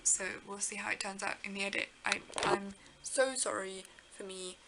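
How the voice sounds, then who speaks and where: A woman's voice: medium pitch, steady tone, moderate pace, heavy background noise. A young woman from Kenya, talking reflectively to camera in a rambling, earnest, self-deprecating way. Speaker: vlogger. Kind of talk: reflective casual talk to camera.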